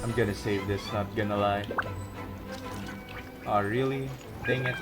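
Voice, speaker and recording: A gaming video host giving casual, chatty and expressive commentary while playing. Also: mid-range voice, unhurried. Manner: expressive, chatty, reacting aloud to the game. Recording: loud game music behind. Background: video game music.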